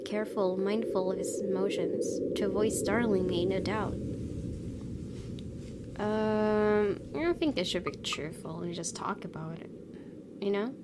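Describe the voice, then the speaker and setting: A woman hosting a gaming video, reading visual novel narration aloud with occasional asides. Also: mid-range voice. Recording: clear background noise.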